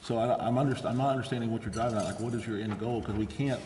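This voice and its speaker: A man's voice: medium pitch, steady tone, racing, a little mellow. A man debating as a council member at a formal meeting, blunt, firm and plain-spoken. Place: council chamber. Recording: echoey noisy hall, long reverb.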